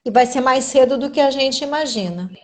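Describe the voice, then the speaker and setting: Measured woman hosting a chat. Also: high-pitched. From Brazil. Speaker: host, instructor. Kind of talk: live chat with audience.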